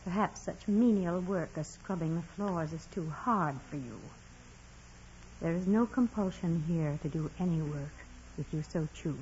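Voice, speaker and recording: An actress playing a severe, bitter senior nun in 1940s radio drama style, stern, clipped and intense. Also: female voice, lowish voice, a little slow, darkish tone, slight background noise, natural voice. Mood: cold, accusing. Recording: dull, hazy vintage radio recording.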